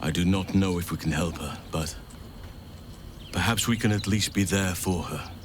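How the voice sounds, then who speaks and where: Man playing a deep, grave game companion. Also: normal speed, background noise, natural voice. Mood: somber concern. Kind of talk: video game character acting.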